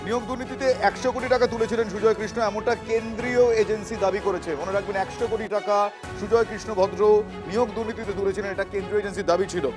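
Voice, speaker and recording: A high-pitched male news anchor speaks over a clear music bed. He delivers live commentary at a quick clip, emphatically repeating key phrases. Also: fairly even intonation.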